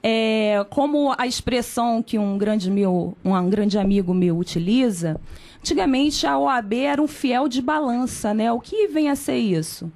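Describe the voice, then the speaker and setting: Brazilian woman lawyer, slightly high voice. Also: expressive, a little mellow. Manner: measured, formal. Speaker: lawyer and candidate. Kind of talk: radio interview.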